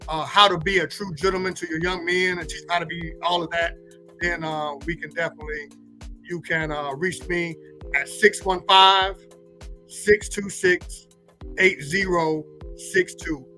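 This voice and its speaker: A man's voice: on the high side, little pitch movement, measured pace, sharp tone. A male pastor talking casually about faith in an informal, colloquial, preacherly manner. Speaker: pastor, guest co-host. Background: music.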